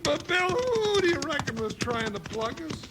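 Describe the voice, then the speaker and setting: A very high-voiced, folksy American cowboy sidekick, nervous and comically cowardly. He stammers and grumbles his way through an old Western radio drama. The vintage recording is clearly noisy. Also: very animated, normal speed. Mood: nervy, comic. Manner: stammering, drawling folksy complaints. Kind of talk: 1950s Western radio acting.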